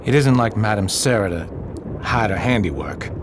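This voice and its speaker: A man playing a calm, discreet, reassuring investigator in a radio drama. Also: lowish voice, moderate pace, background noise, natural voice. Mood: thoughtful.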